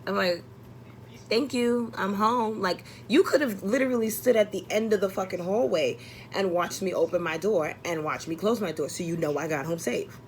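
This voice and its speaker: A woman's voice: fairly low pitch, a little fast, slight background noise, low-quality recording. A young adult woman telling a personal story casually, exasperated and blunt, acting out dialogue and swearing often.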